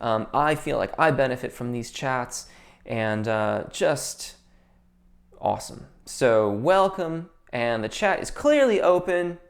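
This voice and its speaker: A man's voice: mid-range voice, lively intonation, slow, creaky. A young man, a voice teacher, hosting a casual live-stream Q&A, reading viewer comments and answering with technical singing terms, with frequent ums and restarted sentences.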